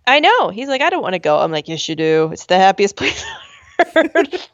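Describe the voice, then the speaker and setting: A woman co-hosting a podcast from home, chatting and laughing, with a high voice, acting out quotes with squeals. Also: sing-song, fairly quick, no room sound. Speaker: podcast co-host. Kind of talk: casual chat. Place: at home with a dog. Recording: dull, slightly lo-fi sound.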